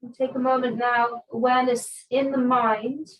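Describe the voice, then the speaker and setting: A woman teaching restorative yoga, giving calm, soothing guidance with long pauses between phrases. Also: a little high, highs cut off. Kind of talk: guided restorative yoga instruction.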